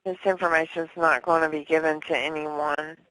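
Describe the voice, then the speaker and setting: A woman's voice: low-pitched, level tone, moderate pace, a bit bright, dry recording, rough recording. A woman working as a customer service agent, talking politely and formally on a call.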